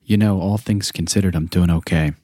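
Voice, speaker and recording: A male podcast host reading a measured, scripted introduction and then asking his guest questions. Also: low-pitched, steady tone, dark tone, dry recording, studio quality. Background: background music.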